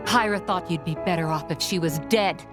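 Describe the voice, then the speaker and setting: A woman's voice: on the low side, a little fast, over loud music, close to an everyday voice. A woman voicing a stern, intense young heroine in a video game, challenging and reproachful.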